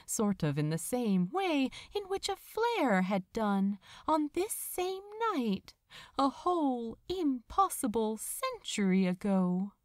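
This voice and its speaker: A woman reading a romantic story aloud as a narrator, with a dramatic, tender and measured delivery. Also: a little high, darkish tone, no echo, studio quality. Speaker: fan fiction audiobook narrator. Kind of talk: expressive scripted story narration.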